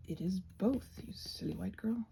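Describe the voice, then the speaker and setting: A woman with a mellow, creaky voice talks softly and casually, meandering through her thoughts and often dropping into a whisper. Her pitch sits in an ordinary range for a woman. There is some background noise around her as she works. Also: moderate pace. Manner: soft-spoken, often drops into breathy whispers; hesitant, meandering, thinking aloud. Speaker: host of a craft ramble channel. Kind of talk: casual rambling chat while painting, ASMR.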